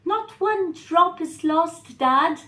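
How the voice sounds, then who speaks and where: A woman's voice: very high-pitched, lively intonation, extremely slow, a bit bright, pitched above the performer's own voice, full character voice. A woman voicing Eva, a young goddess earnestly explaining herself to her father.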